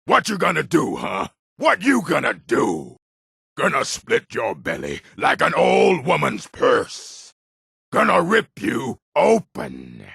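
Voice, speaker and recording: An actor playing a savage orc bandit in a video game, snarling out shouted battle threats. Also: male voice, fairly high pitch, huge pitch swings, takes it slowly, gravelly, breathy edge, no echo, studio quality, heavily acted. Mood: savage rage. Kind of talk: video game character acting.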